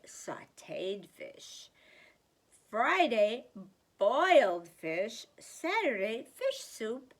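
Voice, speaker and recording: An older woman reads a picture book to small children. Her voice sits a little high and swings widely in pitch as she leans on key words. She takes her time, with a slow, deliberate storytelling pace. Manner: slow, deliberate, animated emphasis. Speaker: grandmother reading a children's book aloud. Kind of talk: expressive storybook read-aloud for young children.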